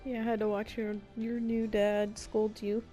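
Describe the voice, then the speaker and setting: A woman's voice: on the high side, little pitch movement, moderate pace, warm tone, faint music behind. A young woman game streamer giving casual, playful, reactive live commentary.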